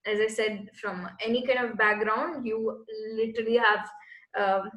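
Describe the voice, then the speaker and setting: A young woman answers interview questions, earnest and a little searching as she explains. Her voice sits fairly high. The room around her is echoey. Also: normal speed, a bit bright. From Bangalore, India. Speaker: guest, space entrepreneur.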